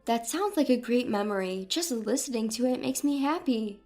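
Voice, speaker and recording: A woman acts out a proud, doting grandmother in her seventies for an audio story. The voice is high and animated, with an earnest, direct way of talking and music behind it. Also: normal speed. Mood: warm, curious. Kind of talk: acted dialogue in an audio story.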